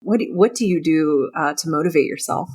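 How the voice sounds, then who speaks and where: A woman with a voice a little lower than most hosts a podcast interview. She sounds encouraging and conversational, and she reshapes her questions while speaking. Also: a little fast, a little mellow, slightly rough.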